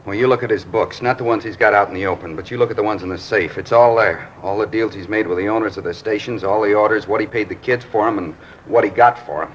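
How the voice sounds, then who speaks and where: A dull, faintly hissy vintage crime broadcast. A man plays a resentful American garage hand, blunt and defensive, talking quickly and pushing back. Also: lowish voice, fairly even intonation, lightly acted. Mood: bitter, accusing. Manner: blunt, combative. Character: defensive, resentful male garage worker and petty thief. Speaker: actor in a police radio drama. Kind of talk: mid-century American crime drama acting.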